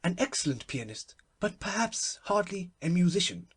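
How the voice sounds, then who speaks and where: A performer voicing a solemn, obsequious little palm-reading man, speaking in a deferential, precise, measured way in a storybook. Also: medium pitch, sing-song, slightly rough, pitched above the performer's own voice. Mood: solemn, courteous.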